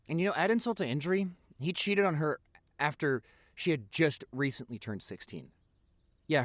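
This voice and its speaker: A man's voice: mid-range voice, a bit bright, no room sound. Man acting a tender, earnest young boyfriend, close and dry. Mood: indignant, animated. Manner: earnest, halting, with repeated words and pauses. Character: popular young boyfriend, tender, protective, sincere, rambling storyteller. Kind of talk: intimate audio roleplay monologue.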